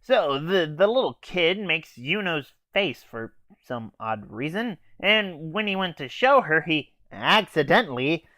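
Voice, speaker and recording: A man's voice: fairly high pitch, very animated, unhurried, hardly any pauses, sharp tone, dry recording. A teenage boy casually recapping an anime episode in an offhand, hesitant way with fillers and swearing. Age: teens. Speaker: anime reviewer.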